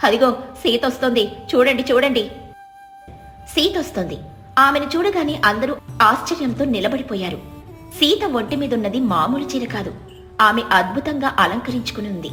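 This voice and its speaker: A woman's voice: on the high side, bright, forceful tone. A woman reading a scripted moral story as a storyteller. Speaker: story narrator. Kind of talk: scripted narration of moral stories.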